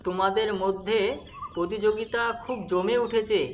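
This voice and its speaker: A woman voicing a patient husband in a cartoon story, advising in measured, instructive short phrases. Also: mid-range voice, steady tone, slight background noise, natural voice. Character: husband patiently advising his two rival wives. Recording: music behind the voice.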